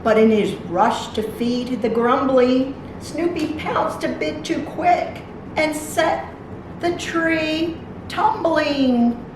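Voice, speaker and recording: A woman's voice: high-pitched, slow pace. A woman reading a picture book aloud to young children, adding simple explanatory asides as a library storytime reader. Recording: very echoey room, distant mic, noisy.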